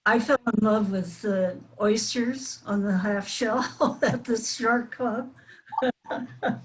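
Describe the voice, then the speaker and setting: A woman's voice: fairly high pitch, fairly even intonation, slow pace, poor audio. An older woman, a former performer appearing as a panel guest, reminiscing casually and hesitantly.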